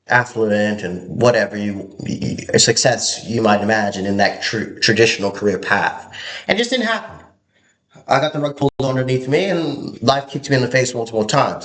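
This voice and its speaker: Young man from Hong Kong, low register, solo motivational talk, halting and earnest, echoey room. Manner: earnest, halting, repeats words. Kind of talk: motivational monologue.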